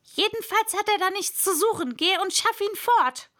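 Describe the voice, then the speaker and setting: A woman's voice: high voice, takes it slowly, fairly bright tone, exaggerated voice. A woman voicing an angry, bossy male rabbit from a storybook, scolding and calling out orders imperiously. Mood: irritated, bossy.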